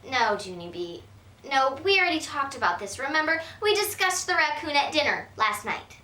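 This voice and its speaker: A woman reading aloud and voicing a firm, tired mother who speaks slower and lower. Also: big pitch swings, bright, forceful tone, full character voice. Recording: roomy, from a distance.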